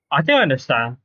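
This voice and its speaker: A man's voice: on the high side, fairly bright tone, slightly rough, no echo, dull audio. A man casually commentating while he plays a video game on a livestream.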